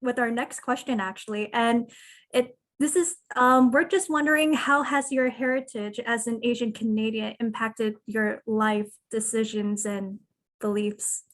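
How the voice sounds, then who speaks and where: A woman's voice: on the high side, fairly even intonation, unhurried, fairly bright tone. A woman interviewer posing a question with tentative, hesitant phrasing.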